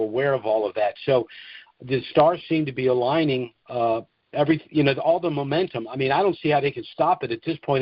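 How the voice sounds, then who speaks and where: A man's voice: mid-range voice, dry recording. Male call host, folksy and agreeable, conversational delivery, dry and muffled conference-line audio. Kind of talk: casual call-in chat. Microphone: telephone conference line.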